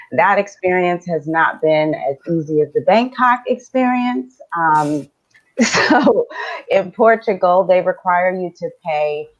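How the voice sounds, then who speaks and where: A woman with a low voice speaks easily on an online panel, filling pauses with ums and laughing easily. The call audio is dull and lo-fi. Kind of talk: casual panel talk. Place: home in Lisbon, video call.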